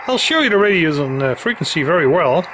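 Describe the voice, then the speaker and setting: A man presenting radio equipment in an unscripted technical walkthrough, explaining things in a hesitant, halting way. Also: on the high side, sing-song, heavy background noise.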